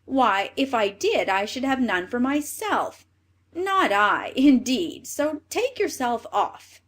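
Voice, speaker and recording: A selfish young man of a fairy tale acted out by a woman, rude and indignant. The speech is emphatic and dismissive, leaping up and down in pitch. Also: big pitch swings, slow pace, fairly bright tone, full character voice. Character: clever, selfish, rude young woodcutter sons.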